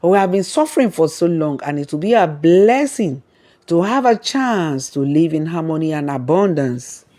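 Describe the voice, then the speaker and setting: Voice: mid-range voice, sing-song, normal speed, warm tone, lightly acted. A storyteller plays a young village girl of about ten in a folktale. She is grateful and hopeful, with an earnest, pleading way of talking.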